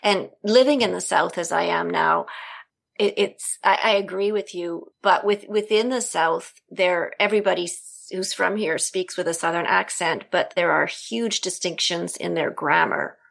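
Low, gravelly woman guest on a podcast. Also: normal speed, fairly bright tone. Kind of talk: casual podcast discussion.

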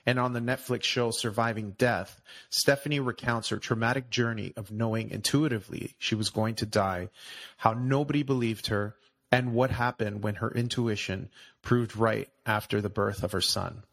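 A man's voice: fairly low pitch, fairly even intonation, normal speed, vocal fry, fairly bright tone, low-quality recording. A man hosting a podcast, enthusiastically introducing and questioning his guest. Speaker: podcast host. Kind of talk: podcast interview.

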